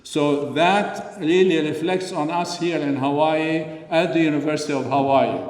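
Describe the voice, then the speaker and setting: An older man from Lebanon giving an earnest, unscripted speech at a community dinner, pausing often with fillers. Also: on the high side, fairly even intonation, a little mellow. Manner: earnest, frequent pauses and fillers. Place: large hall. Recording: echoey hall, voice from far off.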